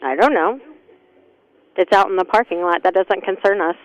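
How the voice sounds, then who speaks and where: Clear, low-voiced woman, unbothered on the phone. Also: normal speed, low-quality recording. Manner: unbothered, flat dismissals. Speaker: store employee answering phone. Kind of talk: customer service phone answers. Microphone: telephone call.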